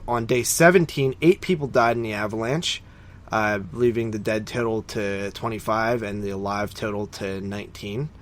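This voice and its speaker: A man co-hosting a podcast in a casual, informal way, recounting his notes with frequent hesitant pauses. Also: lowish voice. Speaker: podcast co-host.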